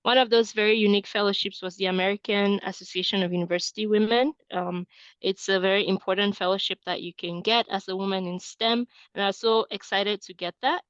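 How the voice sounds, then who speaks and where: A woman's voice: medium pitch, fairly even intonation, brisk, fairly bright tone, no room sound. Woman from Cameroon on dull audio. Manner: peppers points with tag question "right?". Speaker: webinar presenter and admissions coach. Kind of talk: presenting a webinar talk.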